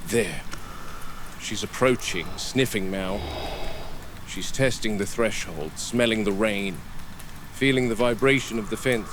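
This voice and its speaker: A man playing a watchful, weary dinosaur park game warden, narrating a found-footage log in a measured, deliberate way. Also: fairly low pitch, natural voice. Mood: patient, watchful. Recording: noisy field recording with vehicle ambience.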